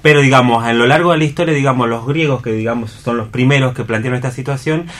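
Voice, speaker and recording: A man's voice: mid-range voice, fast talker, a bit bright. An Argentine man on a radio panel, giving conversational analysis in an explanatory way with many filler phrases. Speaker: radio panelist discussing theatre.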